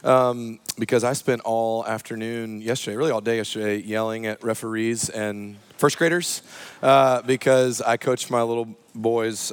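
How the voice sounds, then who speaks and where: A man with a hoarse voice, a pastor giving a sermon in a chatty, self-deprecating way, full of ums and uhs. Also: mid-range voice, no echo. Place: church service.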